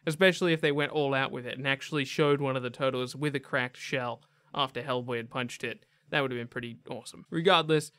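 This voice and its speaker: A man's voice: mid-range voice, fairly even intonation, fast talker, a bit bright, dry recording. A young man giving casual, enthusiastic, chatty video game commentary with emphatic delivery. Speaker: gaming video host.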